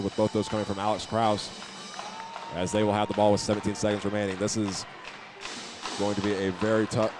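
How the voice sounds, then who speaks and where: A man calling live basketball play-by-play from an indoor arena, occasionally stumbling over or repeating a word. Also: lowish voice. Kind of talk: live sports commentary. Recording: noisy gym ambience behind voice.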